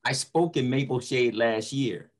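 A man's voice: mid-range voice, unhurried. A middle-aged man, a motivational speaker, telling stories conversationally over a video call from a room at home. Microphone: computer microphone on a video call.

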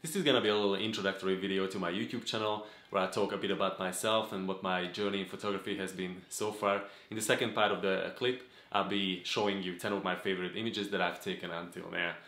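Low-voiced man, roomy sound. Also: fairly even intonation, a bit bright. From Hungary. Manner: earnest, measured, with frequent "uh" fillers. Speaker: photography vlogger. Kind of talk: talking-head channel introduction. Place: home office room with desk and gaming chair.

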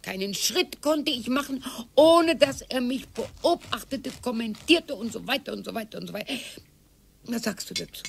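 Actress as sharp-tongued retired wife over sixty, raspy, gravelly, lively swinging pitch, radio play. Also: female voice, normal speed, a little hiss or hum. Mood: mocking. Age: older. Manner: sarcastic and theatrical, swings from loud to whispered.

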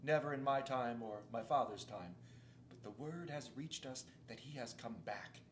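A man doing a loud, folksy talking beaver. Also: medium pitch, normal speed, slight background noise, close to an everyday voice. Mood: earnest. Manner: rustic, loud and emphatic. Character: folksy talking male beaver, earnest and gloomy. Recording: roomy echo.

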